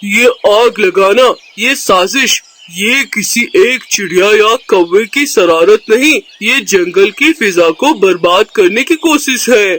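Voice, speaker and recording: A man's voice: high voice, expressive, slow, hardly any pauses, a bit bright, heavily acted. A man voicing a stern, majestic peacock king in a cartoon, speaking in a solemn, commanding, formal way. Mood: grave. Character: stern, majestic male peacock king judging the forest court. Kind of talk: Hindi cartoon dialogue.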